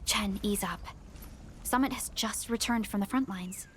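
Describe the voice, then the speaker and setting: An actress playing a calm, gentle, tactful woman in a video game, speaking soothingly. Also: mid-range voice, a little slow, slight background noise, lightly acted. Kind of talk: video game cutscene acting.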